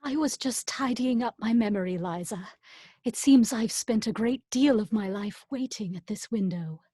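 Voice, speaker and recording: A woman playing a gentle, regal old lady with a wistful manner in a script reading. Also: a little high, normal speed, slightly rough, no echo, clean studio sound.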